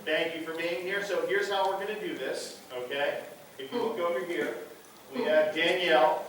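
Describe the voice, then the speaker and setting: A man hosts a convention panel with showman-like flair, speaking in a fairly high voice and steering the audience with clear instructions. He is heard from far off through the house speakers in an echoing hall, with room noise underneath. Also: steady tone, moderate pace, fairly bright tone. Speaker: panel moderator. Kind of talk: announcer-style convention hosting. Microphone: PA mic heard from a far camera.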